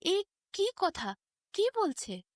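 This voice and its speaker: A woman's voice: very high-pitched, very animated, slow pace, dry recording, studio quality, pitched above the performer's own voice, full character voice. A woman voicing a mild, lonely male merchant who has lost his memory, hesitant and bewildered, in a fairy tale.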